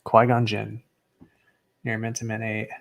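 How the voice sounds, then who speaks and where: A young man with a somewhat low voice talks through a stack of graded trading cards. His delivery is relaxed and understated, casual commentary without any put-on excitement. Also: a little slow, vocal fry. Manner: low-key and understated, self-described as not excitable. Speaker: card collector unboxing graded cards.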